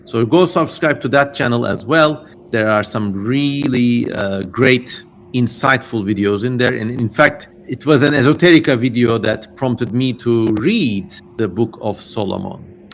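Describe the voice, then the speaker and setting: A man's voice: mid-range voice, a little slow. A man hosting a livestream, moving between casual, digressive commentary and theatrical reading aloud. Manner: digressive, informal asides amid theatrical reading. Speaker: livestream host. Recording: noisy amateur livestream microphone audio.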